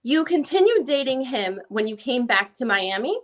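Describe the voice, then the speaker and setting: A woman's voice: a little high, big pitch swings, moderate pace. A woman playing a female attorney, putting formal, methodical questions as she reads a deposition transcript aloud. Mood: matter-of-fact. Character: probing female defense attorney questioning a witness. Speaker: reader in an online dramatic reading.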